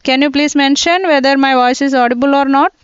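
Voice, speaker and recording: High-voiced woman teaching online. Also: fairly even intonation, a little fast, no room sound. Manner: repetitive, checks with students often, step by step. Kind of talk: live online class lecture. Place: indoors, teaching from a computer. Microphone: laptop mic. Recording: dull lo-fi online class audio.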